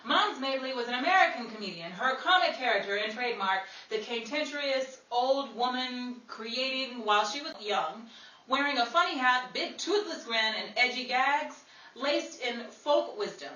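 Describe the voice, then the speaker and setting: High-voiced woman host, distant and echoey. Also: animated, normal speed, pushed and bright. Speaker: show host. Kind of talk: presenting an amateur live audio drama show. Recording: distant echoey room, noisy with crowd sounds.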